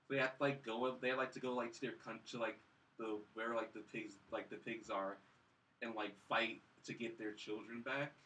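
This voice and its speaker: A man's voice: medium pitch, steady tone, moderate pace, sharp tone, distant mic, slight background noise, low-quality recording. A man chatting casually as a podcast panelist about films, rambling and leaning heavily on the word "like". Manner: rambling, heavy use of "like". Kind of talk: casual chat.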